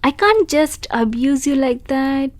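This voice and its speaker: A woman voicing a shy, grateful young female wolf creature in an audio roleplay, speaking softly and politely and stammering when unsure. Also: sits high, animated, slow, no room sound. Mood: bashful. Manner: soft, polite and hesitant, with stammers.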